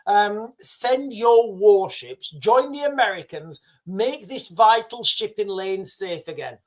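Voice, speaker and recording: Excitable man hosting a news livestream. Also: high-pitched, slow. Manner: excitable, addresses viewers directly, rambling with restarts. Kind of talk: casual livestream commentary.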